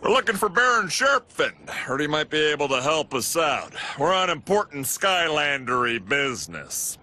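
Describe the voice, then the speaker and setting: Swooping, cocky cartoon pilot. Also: male voice, a little high, very animated, normal speed, bright, forceful tone, heavily acted. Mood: boastful. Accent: American. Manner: brash, boastful, breezy. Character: cocky, bumbling furry pilot, boastful hero.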